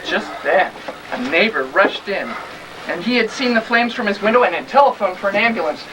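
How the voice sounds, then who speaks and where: A man's voice: high-pitched, expressive, a little fast. Melodramatic male storyteller, distant noisy recording. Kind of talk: mock-serious theatrical storybook narration.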